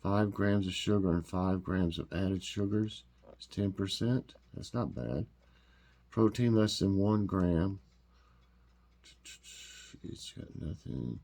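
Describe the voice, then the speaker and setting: A man's voice: low voice, slow pace, gravelly, a little mellow. A man with a stuffed-up, congested voice, chatting casually and rambling with lots of fillers as he hosts a taste test. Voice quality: stuffed-up nasal voice. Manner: rambling, homey, full of fillers and restarts. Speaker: vlog host.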